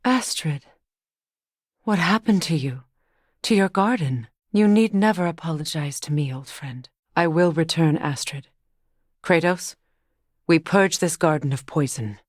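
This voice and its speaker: Ancient goddess, fairly low voice, solemn, resolute, compassionate, formal measured phrasing, video game dialogue, dry studio sound. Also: female voice, dry recording. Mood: tender, mournful. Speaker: video game character voice actor.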